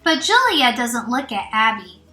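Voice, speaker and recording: High, bright woman reading slowly to children. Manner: expressive, child-directed, with encouraging asides. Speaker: teacher reading aloud. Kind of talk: children's storybook read-aloud. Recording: roomy echo, faint music.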